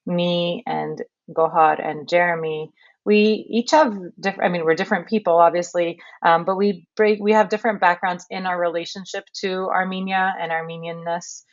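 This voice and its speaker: A woman's voice: sits low, dry recording, highs cut off. A woman from Canada answering interview questions in a thoughtful, conversational way, often restarting her sentences. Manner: thoughtful, many fillers and restarts. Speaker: podcast narrator and guest.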